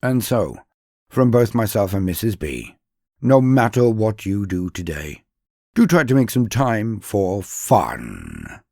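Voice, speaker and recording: A man narrating a dark story in a grave, measured way, with dramatic pauses, as host of his own channel. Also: fairly low pitch, huge pitch swings, creaky, dry recording, clean studio sound.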